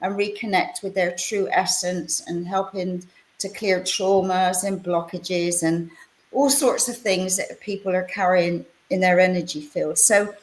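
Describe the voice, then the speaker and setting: A woman's voice: on the low side, fairly even intonation, measured pace. A woman hosts in an informal, chatty way, wandering through her introduction and handing out compliments as she goes. Her voice has a roomy echo around it. She sounds like a radio presenter easing a guest into an interview. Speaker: radio show host. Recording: roomy, echoing voice pickup.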